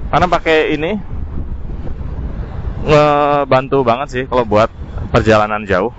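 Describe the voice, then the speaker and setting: A man's voice: mid-range voice, fairly even intonation, a little slow, fairly bright tone. Man vlogging through dull helmet mic. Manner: informal, mixes in English terms. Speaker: motovlogger. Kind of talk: casual product review vlog while riding. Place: outdoors, riding a scooter in city traffic. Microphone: helmet intercom mic. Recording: dull intercom audio with faint vehicle noise. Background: faint traffic and engine noise.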